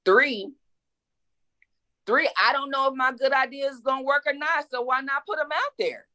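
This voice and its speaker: A woman's voice: high-pitched, moderate pace, sharp tone. Reflective woman in her forties. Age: 40s. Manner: reflective, emphatic, repeats words when thinking. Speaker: guest author. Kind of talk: casual interview.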